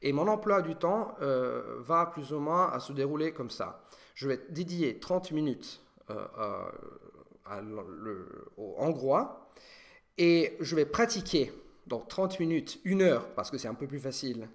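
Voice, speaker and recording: A young Italian man answering viewer questions in a casual monologue, pausing and restarting often as he thinks aloud. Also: fairly high pitch, animated. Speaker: language learning video host.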